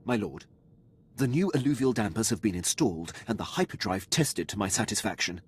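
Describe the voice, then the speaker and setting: An actor playing a dutiful, precise Imperial officer in a video game, speaking formally and deferentially. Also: male voice, on the low side, lively intonation, fairly quick, slight background noise, lightly acted. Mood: composed, pleased.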